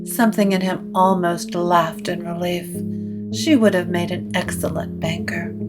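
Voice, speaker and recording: A woman narrating scripted supernatural fiction in a measured, literary storytelling manner. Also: on the low side, fairly even intonation, music in the background.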